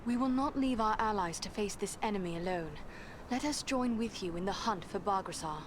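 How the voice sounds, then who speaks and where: An actress playing a determined, courteous adventurer in a fantasy game, speaking in a poised, formal way. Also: female voice, mid-range voice, animated, a little fast, a little hiss or hum, close to an everyday voice. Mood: resolute. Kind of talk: fantasy game cutscene acting.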